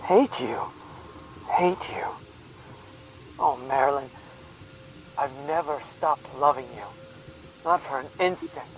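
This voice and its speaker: A man's voice: a little high, slow pace, long pauses, a little hiss or hum, rough recording. A man playing a lovelorn space commander in a radio drama, with an urgent, pleading, emotional delivery. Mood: tender, overcome. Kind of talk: melodramatic radio drama acting.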